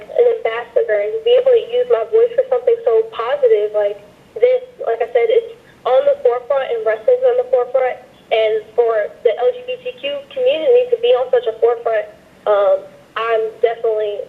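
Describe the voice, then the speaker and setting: A woman in her twenties from Georgia talks over a tinny phone line. Her voice sits fairly high, and she chats loosely, leaning on "like" and at times sounding moved. It suits a casual interview guest. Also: brisk. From Georgia, USA. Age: 20s. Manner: chatty, heavy on "like", emotional at times. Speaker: interview guest, pro wrestler.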